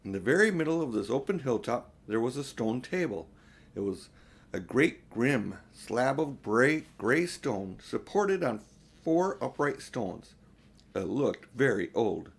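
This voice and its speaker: A man's voice: medium pitch, creaky, husky. An older man reading a storybook aloud to children as an audiobook narrator, now and then stumbling over a word.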